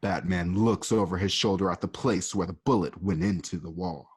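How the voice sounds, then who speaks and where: A man with a husky voice casually narrating stage directions for a radio play, adding asides. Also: sits low, moderate pace. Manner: casual, animated, adds asides.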